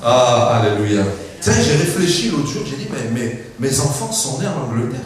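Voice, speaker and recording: A French-speaking man preaching a Pentecostal sermon, with emphatic call-and-response, frequent hallelujahs, and quiet joking asides. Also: normal speed, crowd noise. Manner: lively pitch swings, drops to quiet asides and jokes. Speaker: pastor. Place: large church hall. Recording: very echoey hall, mic at a distance.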